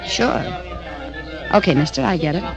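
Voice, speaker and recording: A woman's voice: low voice, lively intonation, moderate pace. An actress playing a brash, friendly bar girl with a folksy, slangy drawl in 1950s radio drama. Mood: brash, casual. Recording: old radio drama, music behind.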